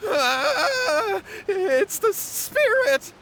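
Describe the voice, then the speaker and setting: A man's voice: very high-pitched, very animated, extremely slow, sharp tone, a little hiss or hum, full character voice. A man playing a cowardly, guilty young man in a video game, stammering, flustered and pleading. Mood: terrified. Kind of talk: English video game voice acting.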